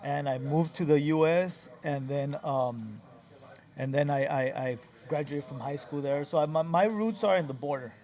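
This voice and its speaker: Young man, native Spanish speaker from northern Mexico, relaxed rambling interview guest, slightly high pitch. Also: normal speed. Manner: rambling with many restarts and fillers, says dude and bro. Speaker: barbershop founder, guest. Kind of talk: casual conversation. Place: barbershop lounge.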